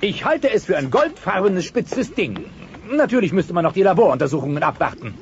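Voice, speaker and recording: A man's voice: high voice, big pitch swings, fairly quick. A man voicing a self-important dinosaur doctor in a cartoon audio play. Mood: pompous, matter-of-fact. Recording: dull cassette transfer.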